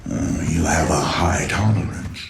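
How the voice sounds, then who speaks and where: A sinister male villain menaces in a creepy, breathy whisper. His voice sits very low and moves slowly. Loud game music plays under it. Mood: menacing. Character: sinister adult male fear-gas villain.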